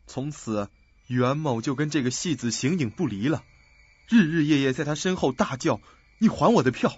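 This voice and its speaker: A man's voice: a little high, animated, normal speed, a little mellow, husky, no room sound, muffled recording. A man playing an earnest, uneasy young investigator in a suspense radio drama. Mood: urgent, storytelling.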